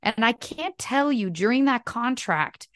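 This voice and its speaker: A woman's voice: on the high side, animated, measured pace, a bit bright, dry recording, clean studio sound. A woman in her thirties from Canada whose first language is French, chatting in a casual interview and telling stories with many "like" fillers. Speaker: actress, podcast guest.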